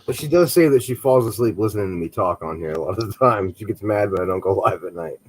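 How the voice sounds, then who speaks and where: A man's voice: lowish voice, lively intonation, quick pace, a little hiss or hum. A man chatting casually on a live stream, telling laid-back stories and swearing casually. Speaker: stream co-host.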